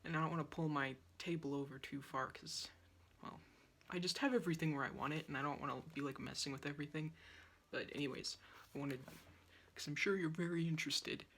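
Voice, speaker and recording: Young female art vlogger, breathy and wheezy from a sore throat, rambling self-deprecating chat at a phone close by in a small room. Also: low voice, steady tone, brisk, a bit bright. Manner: chatty, self-deprecating, talks while painting. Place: small yellow room at home, indoors. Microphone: phone on a tripod, close-up.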